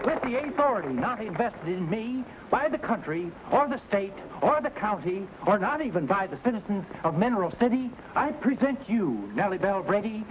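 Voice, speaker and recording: Goofy Western comic sidekick, mock-ceremonial delivery, noisy old-time recording. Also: male voice, high-pitched, big pitch swings, moderate pace, hardly any pauses, muffled recording. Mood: mock-solemn. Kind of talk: mid-century Western comedy acting. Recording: noisy vintage drama recording.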